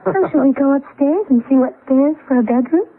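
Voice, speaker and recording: An actress playing a practical, optimistic young expectant wife in a 1970s radio drama. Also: female voice, sits high, fairly quick, lightly acted. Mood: eager, practical. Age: young adult. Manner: optimistic, lightly chiding. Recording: tinny phone-like vintage radio recording.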